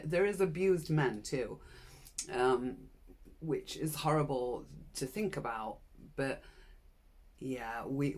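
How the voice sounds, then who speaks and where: A woman's voice: very deep voice, a little mellow. Frank woman in her sixties. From Britain. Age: 60s. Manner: frank, earnest, encouraging. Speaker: channel host. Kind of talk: personal vlog talking to camera.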